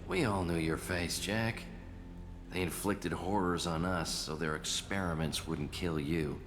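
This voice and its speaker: An actor playing a haunted, obsessive survivor in a video game, intense and brooding. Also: male voice, low-pitched, steady tone, unhurried, a bit bright. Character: haunted, obsessive male survivor of childhood experiments. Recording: reverberant hall under clear music.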